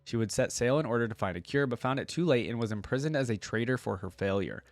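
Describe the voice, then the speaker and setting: A man's voice: mid-range voice, fast talker, no echo. A man hosting a reaction video, reading a wiki aloud and adding casual asides in his own words.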